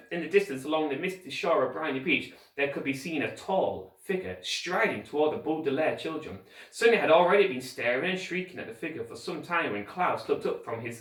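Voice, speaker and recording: A man reading a children's story aloud with expressive, emphatic narration and dramatic pauses, like a teacher reading to pupils. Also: a little high. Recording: echoey room, distant microphone.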